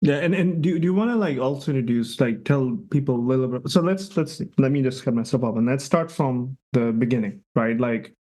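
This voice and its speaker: A man's voice: mid-range voice, a little fast, hardly any pauses, warm tone. A man hosting a podcast interview, asking rambling questions that stop and restart. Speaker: podcast host. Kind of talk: casual interview.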